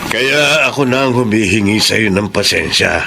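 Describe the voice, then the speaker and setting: A man's voice: on the low side, moderate pace, vocal fry, background noise. Concerned older Filipino grandfather. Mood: apologetic, understanding. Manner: earnest, pleading, advising. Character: conciliatory, concerned older father-in-law and grandfather. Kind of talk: Filipino radio drama acting.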